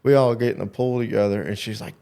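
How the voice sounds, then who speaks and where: A man's voice: on the low side, fast, gravelly, dark tone. A man in his late thirties hosting a casual interview, chatting in a folksy, slangy way. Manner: folksy, slangy, says "dude" and "bro". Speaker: show host interviewing a guest. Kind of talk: casual chat.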